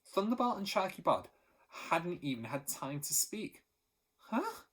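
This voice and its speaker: A male teacher reading a children's storybook chapter aloud, steady and expressive, lifting his voice for the characters. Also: on the high side, normal speed.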